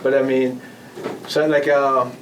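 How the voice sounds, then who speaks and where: Halting man speaking from far off, noisy room. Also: mid-range voice, level tone. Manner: halting, conversational, frequently rephrasing and repeating words. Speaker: former air traffic control manager, guest speaker. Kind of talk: informal presentation with audience questions. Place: club meeting room. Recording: distant mic, noisy meeting room.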